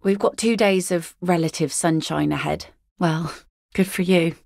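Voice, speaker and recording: A woman's voice: on the low side, fairly even intonation, measured pace, gravelly, darkish tone, no echo. An actress voicing a weary working-class fisherwoman in a game, blunt and matter-of-fact. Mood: dry. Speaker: game character voice lines. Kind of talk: video game voice acting. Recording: dry close studio recording.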